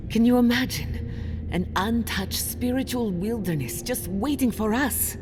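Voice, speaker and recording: An actress playing a bold, curious scholarly explorer in a video game, speaking in an earnest, thoughtful manner. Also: female voice, on the high side, a little slow, music in the background, close to an everyday voice. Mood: eager wonder. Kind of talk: video game dialogue acting.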